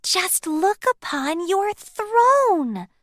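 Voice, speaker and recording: A woman voicing a sweet, eager young princess in a storybook, gentle, gushing and expressive. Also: very high voice, drawn-out words, no room sound, studio quality, voice pushed up, heavily acted. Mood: excited.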